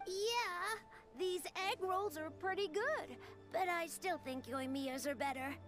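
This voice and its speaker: A boastful young boy who rambles on enthusiastically in a very high voice. Soft game music runs underneath. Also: slow. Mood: cheerful. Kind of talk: game cutscene voice acting.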